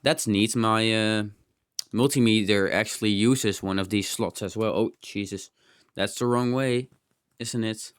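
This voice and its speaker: A man's voice: lowish voice, fairly even intonation, unhurried, smooth. A man giving a casual, unscripted hands-on gadget demo, rambling and thinking aloud with some mild swearing. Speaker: host of a tech teardown channel.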